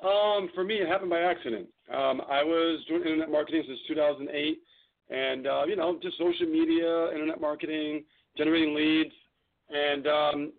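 A man with a gravelly, slightly high voice talks eagerly about marketing, as if coaching a crowd, throwing in rhetorical questions. He comes through a thin, tinny phone line. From New York City, raised in New England. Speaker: guest, internet marketing trainer. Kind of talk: casual radio interview.

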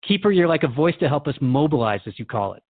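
A man's voice: a little high, sing-song, quick pace, dry recording. A man playing an earnest, respectful radio host in a drama, questioning in a conversational, hesitant and probing way. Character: earnest, questioning male radio interviewer, respectful but troubled. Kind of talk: radio drama interview acting. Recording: dull lo-fi, dry audio.

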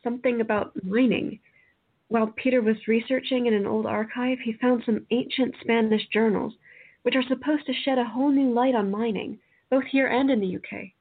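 Woman playing a fretful sister, husky, tinny recording. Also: fairly high pitch, fairly even intonation, a little fast. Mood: worried. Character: Lana, worried exasperated sister. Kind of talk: amateur radio play acting. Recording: tinny phone-like sound.

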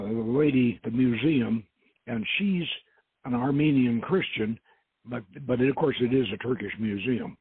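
A man hosting and reading a novel aloud in an informal, halting way, repeating words as he goes. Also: mid-range voice, husky, no echo. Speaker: host, reader. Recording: muffled narrowband radio-like audio.

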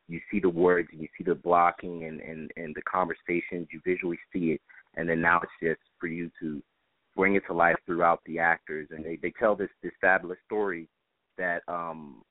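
A man's voice: deep voice, no echo. A man speaking as a stage director in an interview, explaining things in an earnest, reflective way. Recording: dry, phone-like band-limited line.

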